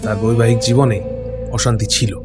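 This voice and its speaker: A man's voice: medium pitch, flat delivery, moderate pace, over music, lightly acted. A man playing an earnest, deferential junior investigator reporting to his superior in a horror audio drama. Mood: earnest, sombre.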